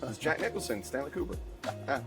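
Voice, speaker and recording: A man's voice: medium pitch, big pitch swings, quick pace. A man from Miami, a bartender and bar co-owner, chatting in an interview in a laid-back, slangy way. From Miami, United States. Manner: laid-back, slangy, says dude and bro. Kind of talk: casual interview chat. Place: inside a bar. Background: music.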